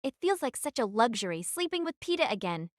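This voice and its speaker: A woman's voice: on the high side, very animated, brisk, dry recording, clean studio sound. A woman playing a defiant, brooding teenage girl who narrates her own story in an audio drama. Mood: tender, reflective. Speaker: narrator and lead actress.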